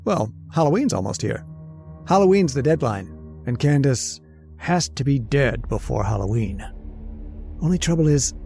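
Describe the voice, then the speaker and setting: A man's voice: mid-range voice, creaky, a little mellow. An actor playing a nervous, haunted man who narrates his story in an old-time radio horror drama, jittery and hesitant. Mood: anxious, confiding. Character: nervous, haunted, centuries-old male professor confessing a murder plan.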